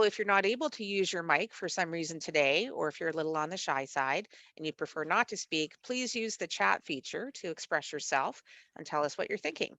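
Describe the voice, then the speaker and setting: A woman's voice: lowish voice, pushed and bright, dry recording. Nasal woman hosting a webinar. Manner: measured, read-aloud delivery with occasional fillers. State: nasal, recovering from a cold. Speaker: webinar host, executive director of a literacy network. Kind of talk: scripted webinar presentation.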